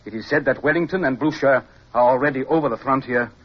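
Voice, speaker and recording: An actor playing a courteous, formal army officer in a radio drama, speaking in a measured and deliberate way. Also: male voice, medium pitch, breathy edge, close to an everyday voice. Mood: grave. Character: courteous French army officer guarding a prisoner. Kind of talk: mid-century radio drama acting. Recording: muffled, noisy old radio recording.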